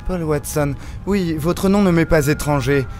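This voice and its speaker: A man's voice: a little high, unhurried, darkish tone, lightly acted. An actor playing a cryptic, erudite male recluse in an audio drama, theatrical and condescending, over prominent music. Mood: knowing. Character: cryptic, erudite male deep-sea recluse, scornful of surface dwellers. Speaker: reclusive expert character. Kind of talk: French audio fiction acting.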